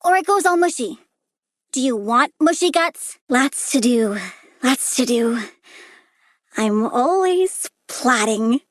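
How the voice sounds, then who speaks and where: A woman's voice: sits high, animated, slow, no room sound, clean studio sound. A woman playing a precocious young girl plant scientist and budding supervillain in a video game, chirpy and smug with childlike know-it-all menace and teenage sarcasm. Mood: sly menace. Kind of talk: video game character voice lines.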